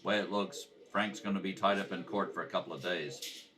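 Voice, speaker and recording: A man playing a terse, stoic police detective with a deadpan, clipped, polite delivery in a radio crime drama. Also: low voice, a little fast, music in the background, lightly acted. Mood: dry, patient.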